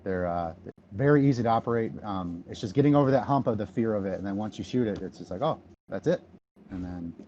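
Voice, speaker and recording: A man's voice: animated, fast talker, warm tone. A man in his thirties from the Detroit area, chatting casually on a podcast and rambling with many ums and restarts. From Michigan, near Detroit. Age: 30s. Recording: dull low-fi audio, faint noise.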